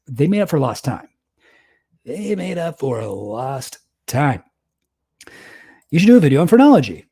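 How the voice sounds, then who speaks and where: A man's voice: medium pitch, mellow. A young man casually commenting on a livestream, rambling, self-deprecating and laughing often. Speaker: streamer reacting to a debate.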